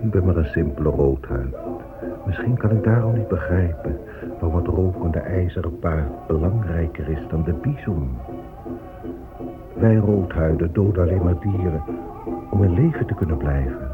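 Man as deep-voiced, dignified Native elder. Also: normal speed, long pauses, close to an everyday voice. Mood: bitter sorrow. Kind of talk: solemn radio drama oration. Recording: muffled phone-like old radio recording. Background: music.

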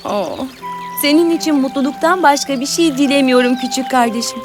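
A woman as a kind, high-voiced elder sister. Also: brisk, music in the background, lightly acted. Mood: gentle, hurt. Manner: gentle, earnest, pleading. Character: kind, patient, hardworking elder sister, young and gentle. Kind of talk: children's fairy tale audio acting.

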